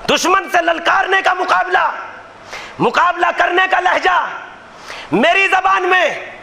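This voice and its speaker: A man delivering a Muharram majlis sermon in impassioned oratory, shouting many lines. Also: very high voice, unhurried, creaky, noisy background. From Gujarat, India. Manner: impassioned, declaiming, often shouting rhetorical lines. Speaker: maulana preaching a majlis. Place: religious gathering hall.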